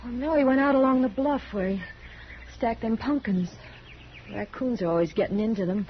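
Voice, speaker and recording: An actress playing a polite, uneasy young prairie farm wife with a hesitant manner, in 1950s radio western style. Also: female voice, a little high, normal speed, close to an everyday voice. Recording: muffled, faintly noisy vintage radio recording.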